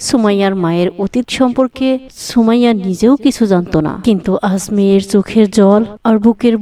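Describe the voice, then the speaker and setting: A woman's voice: mid-range voice, warm tone. A woman narrating a moral cartoon story from a script as its storyteller.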